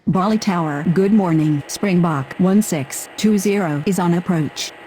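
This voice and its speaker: A woman voicing a calm, formal air traffic radio operator in a game, using clipped phraseology. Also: fairly low pitch, a little slow, lightly acted, poor audio.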